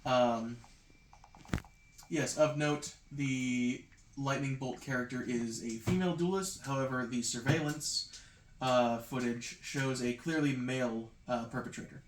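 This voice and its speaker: A man's voice: medium pitch, fairly even intonation, a little slow. A man casually retelling a roleplaying game session to his group, hesitating and slipping into bits of acted-out dialogue. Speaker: player recapping a tabletop roleplaying game. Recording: echoey room, background noise, clicks.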